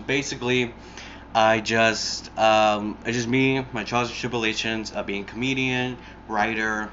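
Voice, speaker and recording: A man's voice: mid-range voice, a bit bright, a little hiss or hum. Rambling young man with a lo-fi earbud mic. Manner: rambling, profane, many fillers and restarts. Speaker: podcast host. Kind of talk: casual solo podcast chat.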